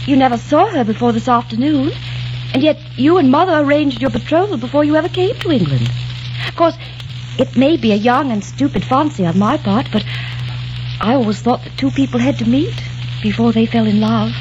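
High lively proper young miss, nosy but sweet, hissy old radio. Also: female voice, fairly quick. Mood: earnest. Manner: polite, inquisitive, proper. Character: sweet young 18th-century gentlewoman, curious. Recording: dull old radio broadcast, noisy.

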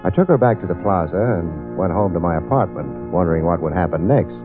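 A man's voice: low-pitched, lively intonation, a little fast. An actor playing a wry, wisecracking male private detective who narrates an old radio drama. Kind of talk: 1940s radio acting. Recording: tinny old radio broadcast with music.

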